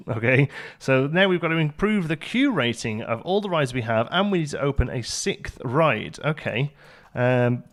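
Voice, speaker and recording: A man hosts a gaming video in a mid-pitched voice. He talks casually and keeps thinking aloud, commenting on each step as a chatty let's play host. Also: lively intonation, normal speed.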